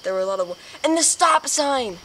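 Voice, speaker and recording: A woman's voice: high-pitched, expressive, brisk, sharp tone. A young adult woman chatting casually and playfully with friends outdoors, picked up on a camera microphone. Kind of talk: casual chat among friends. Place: outdoors at night in a concrete drainage channel. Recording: very noisy outdoor night recording, crickets.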